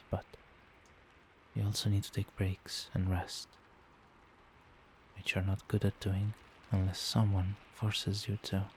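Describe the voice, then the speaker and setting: A man acts out a loving male vampire boyfriend in an ASMR roleplay, coaxing his partner toward sleep. His soft voice is low and mellow, gentle and reassuring. Faint ambient noise sits behind him. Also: rough recording. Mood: gentle concern.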